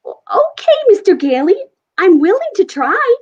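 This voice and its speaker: A woman's voice: extremely high pitch, sing-song, normal speed, darkish tone, lo-fi sound. A woman playing a polite, sensible, skeptical young girl with precise, matter-of-fact speech in a 1940s-style radio play.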